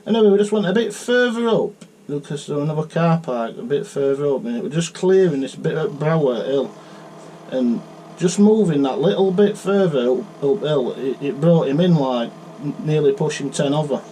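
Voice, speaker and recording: A man with a South Yorkshire accent talks in a slightly high voice. He chats casually as a CB radio operator. His delivery is halting and chatty, sprinkled with technical jargon. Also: dark tone, slight background noise, low-quality recording.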